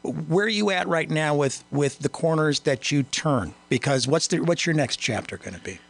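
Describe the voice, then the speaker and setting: A man's voice: a little high, lively intonation, moderate pace, creaky. A man hosting a radio show, asking long, winding questions and restarting his phrases often. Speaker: radio host. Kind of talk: radio interview.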